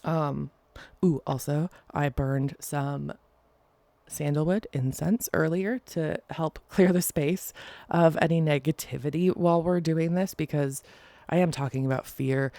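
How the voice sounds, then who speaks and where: Stuffy nosed podcaster chatting away solo, kinda croaky fry, goofy little tangents, dead dry sound. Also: medium pitch, steady tone, a little slow, mellow, no room sound. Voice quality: nasal. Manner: chatty, rambling, with playful asides. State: congested. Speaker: podcast host. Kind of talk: casual solo podcast talk.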